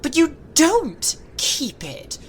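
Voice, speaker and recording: A woman's voice: high-pitched, huge pitch swings, drawn-out words, pitched above the performer's own voice, exaggerated voice. A woman voicing a cheerful, good-hearted young nephew who pleads earnestly with his uncle.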